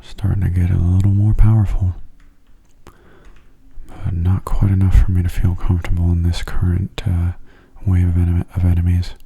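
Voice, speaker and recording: A man giving calm, soft-spoken ASMR game commentary in a breathy, unhurried voice that often drops to near a whisper. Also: deep voice. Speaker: gaming video host.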